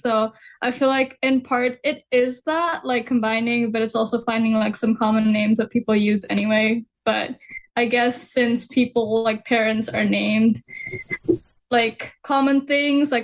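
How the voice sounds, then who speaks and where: Young woman guest, kinda high voice, rambles, says "like" a ton. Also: steady tone, normal speed. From Kenya. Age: young adult. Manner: rambling, heavy use of "like", thinking aloud. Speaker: student guest. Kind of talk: casual conversation.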